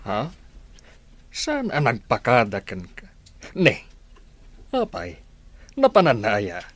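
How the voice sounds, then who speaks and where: A husky old grandfather played by an actor in an audio drama. The voice is slow and halting, swinging widely in pitch, with an indulgent, placating temper. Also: huge pitch swings, full character voice. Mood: puzzled.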